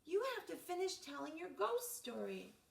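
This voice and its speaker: A woman's voice: sits high, big pitch swings, normal speed, creaky, pitched above the performer's own voice, exaggerated voice. A woman voicing an eager, pestering young boy at a sleepover in a storybook, with insistent, exaggerated questions. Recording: roomy echo, distant mic.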